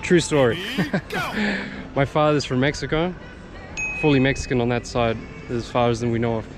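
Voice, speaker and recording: A man's voice: mid-range voice, normal speed, over music. A young man with a New Zealand accent casually telling his own story in an informal vlog, addressing his viewers. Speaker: language-learning vlogger.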